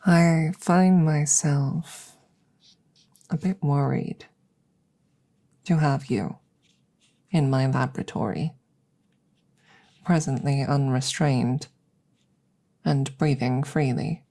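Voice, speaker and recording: Woman as alien scientist, low-pitched, subdued mellow tone, breathy whispers, formal and deliberate, cautious curiosity, ASMR roleplay. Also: fairly even intonation, exaggerated voice. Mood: worried. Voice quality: breathy, hushed, slipping into whispers. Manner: measured, formal, deliberate phrasing.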